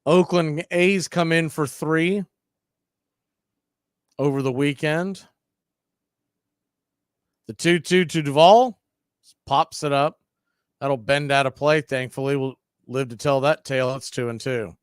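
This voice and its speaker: A man calling baseball play-by-play for a fan radio stream, mixing pitch calls with blunt opinionated asides. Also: fairly even intonation, takes it slowly, pauses often, smooth, no room sound, studio quality.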